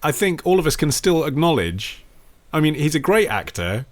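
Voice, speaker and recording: A man's voice: on the high side, big pitch swings, normal speed. A man co-hosting a podcast in a casual, wry style, fond of rhetorical questions and sarcasm. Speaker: podcast co-host. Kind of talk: casual comedic discussion.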